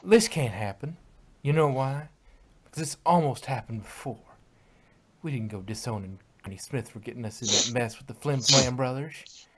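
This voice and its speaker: A man's voice: medium pitch, moderate pace, fairly bright tone, husky. Man doing a stubborn farm pony girl. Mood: defiant. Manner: folksy, defiant. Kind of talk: fan fiction reading.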